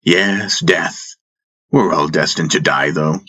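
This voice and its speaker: A man acting a teasing, deep-voiced spiritual master. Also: slow pace, pushed and bright, slightly rough, exaggerated voice. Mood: mocking. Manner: chuckling, chiding, theatrical. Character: wise, teasing, stern spiritual master appearing in a dream.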